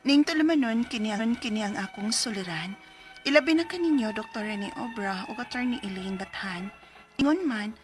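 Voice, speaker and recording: An actress with a Cebuano accent playing a troubled young village mother in a radio drama, earnest and expressive. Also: medium pitch, close to an everyday voice. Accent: Cebuano Filipino. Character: troubled 21-year-old village mother telling her story. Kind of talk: radio drama acting and letter narration.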